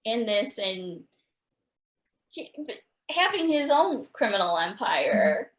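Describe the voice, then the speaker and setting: A woman's voice: mid-range voice, very animated, slow. A woman hosting a podcast interview, chatting casually and appreciatively with guests. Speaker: podcast host. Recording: echoey room.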